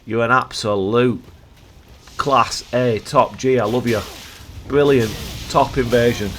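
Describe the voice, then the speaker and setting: A man with a croaky voice from a cold, giving playful, jokey commentary over gameplay as a video host. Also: medium pitch, fairly even intonation, slow pace, fairly bright tone, a little hiss or hum.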